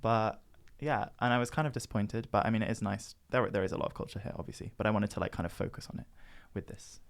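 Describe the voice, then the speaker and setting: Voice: medium pitch, fast talker, vocal fry. A young adult from the Midlands, chatting casually and informally in a rambling, self-interrupting way as a podcast crew member. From English Midlands. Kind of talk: casual group chat.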